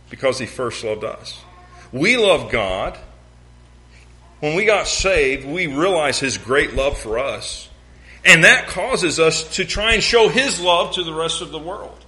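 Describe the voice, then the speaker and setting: A man's voice: on the high side, bright, forceful tone. A man from the American South with a Southern accent, preaching a sermon with folksy jokes and mimicked sounds.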